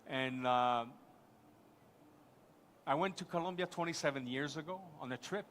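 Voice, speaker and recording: A man's voice: a little high, moderate pace, a bit bright, slight background noise. A man from Quebec with a French-Canadian accent, a native French speaker, speaking as a panelist at a live conference and rambling enthusiastically with many restarts.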